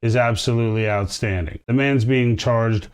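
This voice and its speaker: A male podcast host talks over video clips with an earnest, emphatic delivery. His voice is smooth and resonant, sitting at an ordinary middle pitch for a man. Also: moderate pace.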